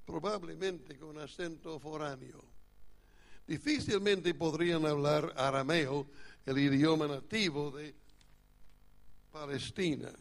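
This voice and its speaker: A man whose first language is English preaching a sermon at a church retreat in Spanish with an American accent, in a measured, explanatory teaching manner. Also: a little high, creaky.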